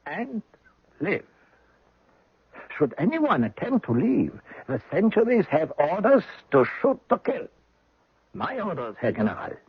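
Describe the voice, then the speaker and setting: Boastful german army captain, high husky voice, german accent, old radio drama. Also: male voice, wildly swooping pitch, a little slow, pauses often, no room sound, full character voice. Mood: gloating. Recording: muffled old radio broadcast.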